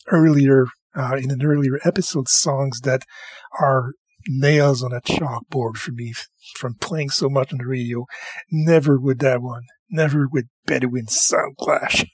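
Man, mid pitch, husky and dry, rambling music-show host chat. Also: dry recording. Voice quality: slightly husky, tired night voice. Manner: chatty, rambling, enthusiastic about music.

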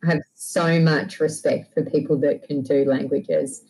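A woman's voice: low voice, steady tone, unhurried, a little mellow, a little hiss or hum, rough recording. Gushing woman, host. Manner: enthusiastic, gushing. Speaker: podcast host. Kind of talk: casual interview.

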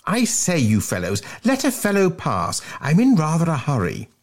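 High, blustering fat schoolboy. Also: male voice, huge pitch swings, normal speed, heavily acted. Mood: flustered pleading. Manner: stammering, blustering, spluttering excuses. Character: fat, flustered, greedy schoolboy fibber, indignant and wheedling. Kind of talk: storybook character voice in a dramatic reading.

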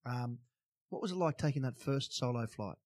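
A man's voice: medium pitch, moderate pace, darkish tone, no room sound, studio quality. A man with an Australian accent hosting a casual interview in a matey, enthusiastic way. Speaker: podcast host and interviewer.

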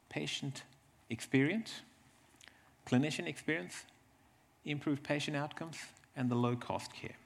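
Low creaky-voiced man presenting. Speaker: presenter from a health service. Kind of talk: conference presentation with slides.

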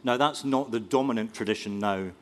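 A man's voice: mid-range voice, brisk. A man speaking as a panelist, lecturing on history with dry self-deprecating humor. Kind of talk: academic panel discussion.

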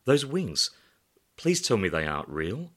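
Man doing an outspoken camp friend, pitched up. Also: very animated, unhurried, fairly bright tone, heavily acted. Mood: bewildered. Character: outspoken camp male friend, newly dead and bewildered.

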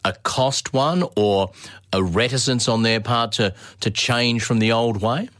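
A man's voice: medium pitch, a little slow, fairly bright tone, no echo. Curious male interviewer, dry sound. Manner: curious, prompting questions. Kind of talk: podcast interview.

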